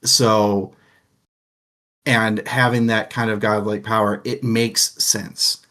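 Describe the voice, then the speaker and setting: Low-voiced man musing over a book. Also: level tone, takes it slowly, bright, forceful tone. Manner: rambling, thoughtful, lots of "like" fillers. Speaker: book discussion co-host. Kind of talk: casual chat.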